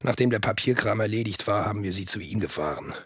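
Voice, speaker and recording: An actor playing a dry, mildly mocking police officer in a radio drama monologue, husky, wry and colloquial, in close dry studio sound. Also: male voice, on the low side, expressive, fast, a bit bright, dry recording. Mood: wry, scornful.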